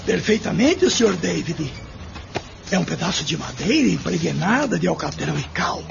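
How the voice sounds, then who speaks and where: An actor playing an inquisitive, deferential neighbor in a radio drama, with a gravelly, animated delivery. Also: male voice, on the high side, moderate pace, background noise, heavily acted. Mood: puzzled. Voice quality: very creaky, gravelly. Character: inquisitive rustic male neighbor examining buried finds. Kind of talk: amateur radio drama acting. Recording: muffled recording with music behind.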